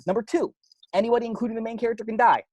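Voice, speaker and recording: A man's voice: extremely high pitch, steady tone, rapid-fire, darkish tone, no echo. A man playing a dead young film geek who explains horror rules on a homemade video, emphatic and stop-and-start, in an amateur table read. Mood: earnest, ominous.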